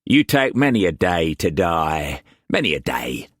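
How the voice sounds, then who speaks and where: A man voicing a boisterous pirate chief who speaks rough Cockney slang, jovial but menacing. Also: low voice, very animated, unhurried, no room sound, clean studio sound, exaggerated voice.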